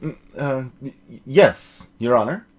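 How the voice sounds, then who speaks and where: A man's voice: medium pitch, expressive, measured pace, slight background noise, pitched below the performer's own voice, natural voice. A man voicing a nervous, bewildered young rookie defence lawyer in a video game, stammering and flustered.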